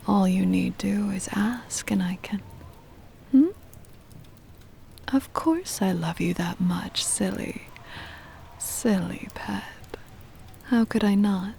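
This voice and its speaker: A possessive, ancient vampire mistress acted by a woman whispers intimately in an ASMR roleplay. Her breathy voice is heavily laced with fry and soft, dark in tone. She coaxes with doting tenderness and quiet menace, over a noisy ambient bed. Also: on the low side. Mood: teasing. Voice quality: breathy whisper with creaky edges. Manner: slow, coaxing, possessive endearments.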